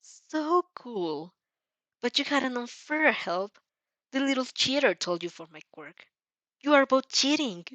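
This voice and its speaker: A woman voicing a mocking, cold male villain with a raspy, taunting delivery and perverse glee, in a fan fiction reading. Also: high-pitched, sing-song, a little slow, a bit bright, no echo, pitched above the performer's own voice, exaggerated voice. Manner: taunting, menacing.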